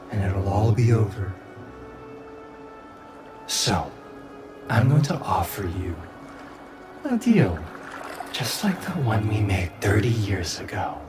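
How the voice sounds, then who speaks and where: Man voicing a slow, menacing fox spirit. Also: fairly low pitch. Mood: coaxing. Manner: slow, drawn-out, theatrical delivery with sudden outbursts. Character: menacing, cajoling fox spirit patron, sweetly threatening. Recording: music bed under the voice.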